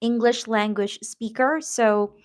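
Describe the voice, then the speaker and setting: A woman from the Philippines with a Filipino accent and Tagalog as her first language, chatting casually on a live stream, encouraging viewers and pausing often with "um". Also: a little high, steady tone. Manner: warm, encouraging, reads viewer comments, many "um" fillers. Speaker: YouTube livestream host.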